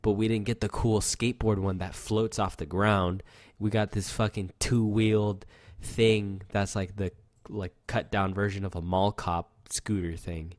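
A man's voice: lowish voice, flat delivery, unhurried, darkish tone, no room sound, clean studio sound. A young man co-hosting a podcast, telling loose, rambling stories full of fillers and swearing, with a voice that sounds youthful. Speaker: podcast co-host. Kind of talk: casual chat.

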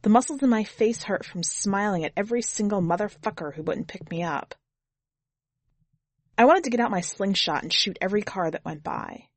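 A woman's voice: medium pitch, no room sound, lightly acted. A woman narrating in the first person as a jaded, blunt twenty-year-old drifter, wry and foul-mouthed, in an audiobook story.

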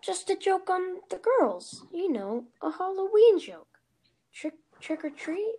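A woman's voice: extremely high pitch, sing-song, unhurried, dark tone, exaggerated voice. A woman playing a bratty, mischievous little brother in an amateur audio play. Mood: nervous excuses.

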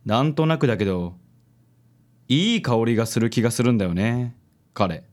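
ラジオドラマで、自信に満ちた紳士的な気取った色男を演じる男性。. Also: male voice, mid-range voice, expressive, normal speed, a little mellow, natural voice. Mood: puzzled but composed. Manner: smooth, affected gallantry. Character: suave, self-assured handsome gentleman charmer. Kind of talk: radio drama acting.

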